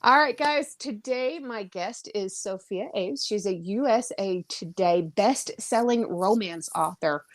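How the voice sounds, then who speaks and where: A woman's voice: mid-range voice, a little slow, few pauses. A woman hosting a casual, chatty interview in an effusive, exclaiming way. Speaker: podcast host and interviewer.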